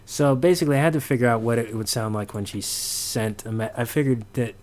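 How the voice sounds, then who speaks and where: A man giving an informal sound design tutorial, halting as he thinks aloud. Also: mid-range voice, a little mellow.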